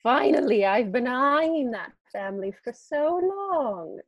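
A woman plays a scheming middle-aged witch mother, her voice high and a little husky. She teases smugly and always sounds one step ahead. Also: sing-song, slow, highs cut off, exaggerated voice. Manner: smug, teasing. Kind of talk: improvised audio comedy.

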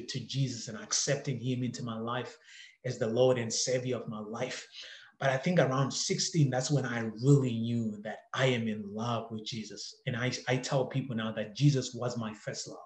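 A man's voice: medium pitch, roomy sound. A man from Zimbabwe hosting a podcast, telling his own story earnestly, with many repeated words and restarts. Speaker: podcast host. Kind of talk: personal storytelling monologue.

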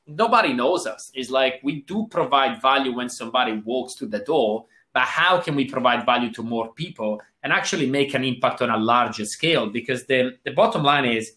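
A man's voice: medium pitch, expressive, fairly quick, hardly any pauses, sharp tone, echoey room. A man with a Swiss accent answering interview questions as a business coach, earnest and explanatory. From Switzerland.

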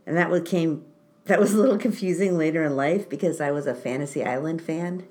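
Low-voiced wry woman storyteller. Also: a little fast, a little mellow. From born in Italy, grew up in France. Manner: wry, self-mocking, laughs often. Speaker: podcast host telling personal stories. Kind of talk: casual storytelling chat.

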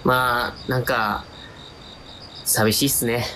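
An unremarkable young man talks in a flat, resigned, subdued way in a radio play. His pitch is ordinary and his tone mild. Faint noise sits under his voice. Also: slow pace. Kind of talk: radio drama acting and narration.

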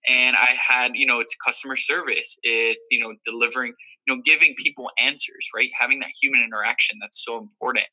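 Bright-voiced young man reflecting earnestly. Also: medium pitch, steady tone, brisk, poor audio. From Miami, Florida. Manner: earnest, reflective, many fillers. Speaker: guest. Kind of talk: casual interview talk.

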